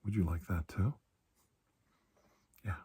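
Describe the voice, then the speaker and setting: Man, ASMR roleplay as a kindly doctor, mellow dark tone, very husky and whispery, gentle, soothing, unhurried. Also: low-pitched, fairly even intonation. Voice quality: breathy, hushed. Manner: gentle, reassuring, unhurried with pauses. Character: calm, kindly male doctor soothing a frightened patient. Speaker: ASMR performer playing a doctor.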